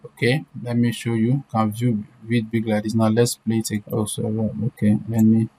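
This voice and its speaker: A man's voice: lowish voice, monotone, a little fast, mellow, low-quality recording. A man presenting an informal step-by-step screen-share tutorial, repeating himself and often checking in with short questions. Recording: clear background noise.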